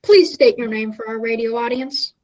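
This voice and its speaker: Woman playing a flustered, high-voiced radio reporter. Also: darkish tone, natural voice. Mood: formal, hesitant. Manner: halting, stumbling over words. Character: flustered, bumbling radio news reporter, stalling for time. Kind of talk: mock radio news interview.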